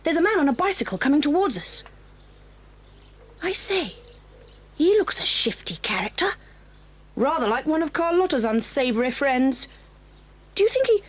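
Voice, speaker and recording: A woman's voice: high voice, very animated, moderate pace, long pauses. An actress playing a spiteful, resentful teenage schoolgirl with a whiny manner, in a 1980s audio drama. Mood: sneering.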